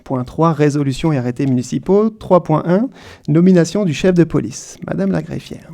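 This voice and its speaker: A man chairing a town council meeting, reading formal statements in a measured way. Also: a little high, gravelly, mellow. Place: council chamber.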